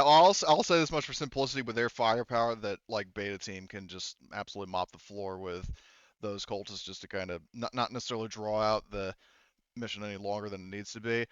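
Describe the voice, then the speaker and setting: A man running a tabletop game as game master, talking casually and haltingly and swearing freely. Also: on the low side, a bit bright, clear, resonant tone, no room sound, lo-fi sound.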